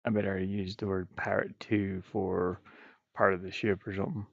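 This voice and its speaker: Man, lowish gravelly voice, unhurried casual muttering, think-aloud streamer, dry dull recording. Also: flat delivery, no echo. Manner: rambling, muttering to himself. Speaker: live streamer and indie game developer. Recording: dull, muffled, dry lo-fi microphone.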